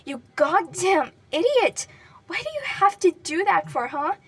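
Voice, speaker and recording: A woman voicing a furious, grieving, hot-tempered young man who yells and curses at an unmoving friend, his voice breaking. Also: on the high side, normal speed, husky, recorded from a distance. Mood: furious yelling. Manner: yelling, cursing, voice breaking. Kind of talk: dramatic fan fiction reading.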